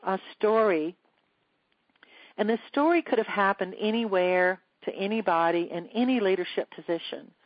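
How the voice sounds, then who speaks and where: Woman seminar host, measured earnest delivery, dry dull phone-call sound. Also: mid-range voice, no room sound. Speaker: leadership coach and seminar host. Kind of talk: teleseminar presentation.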